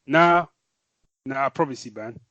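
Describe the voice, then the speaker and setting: A man's voice: mid-range voice, a little slow, bright, forceful tone, no echo, highs cut off. A man co-hosting a podcast, chatting with rambling enthusiasm and a lot of "man". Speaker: podcast co-host. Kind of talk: casual chat.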